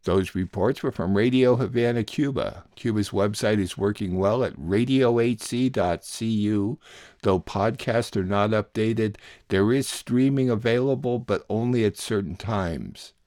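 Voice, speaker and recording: A man with a fairly low voice presents a radio show. He reads scripted announcements and links between items, and the recording is dry, without room sound. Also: unhurried, darkish tone, dry recording. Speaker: radio show host and producer.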